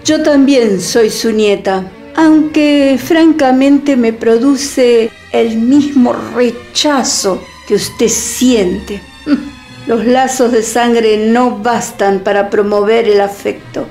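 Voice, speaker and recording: A woman playing a defiant young lady, slow cool delivery, slightly high voice, Rioplatense accent. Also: dark tone. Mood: cool ironic. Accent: Rioplatense Spanish. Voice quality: cool, ironic, measured. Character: proud young woman, sharp-tongued and defiant. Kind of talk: online radio drama acting. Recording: faint music underneath.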